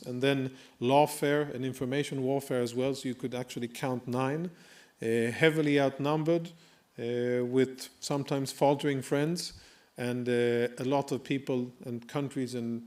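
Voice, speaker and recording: Man from Sweden, mid-pitched, earnest speech at a dinner, halting with fillers. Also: a little mellow. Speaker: guest speaker. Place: banquet hall.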